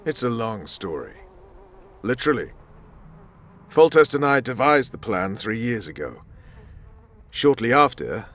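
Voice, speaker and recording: Hard, blunt soldier-spymaster with swinging pitch, played by a man. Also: fairly bright tone, natural voice. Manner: blunt, intense, clipped. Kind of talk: video game voice acting.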